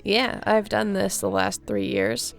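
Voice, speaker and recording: Girl doing a chill friendly surfer chick for an audio roleplay, super fryey voice, says stuff all laid back, soft music behind her. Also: mid-range voice, sing-song, a little slow, vocal fry. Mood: reassuring. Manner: laid-back surfer slang, chuckling, welcoming. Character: friendly, easygoing young surfer girl hosting a holiday dinner. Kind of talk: audio roleplay, casual chat to the listener.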